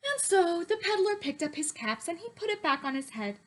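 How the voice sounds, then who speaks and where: A woman teacher reading a picture book to young children in an expressive, sing-song, emphatic way and putting questions to them. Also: very high voice, a little fast.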